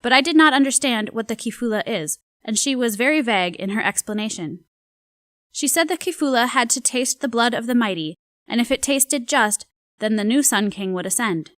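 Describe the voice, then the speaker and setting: Woman doing a curious 60s anthropologist reading her diary, higher voice, dry sound. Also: animated, fairly bright tone, no echo. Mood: reflective. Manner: measured, reflective diary reading. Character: female anthropologist in the 1960s, curious and uneasy. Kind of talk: dramatic reading of a journal.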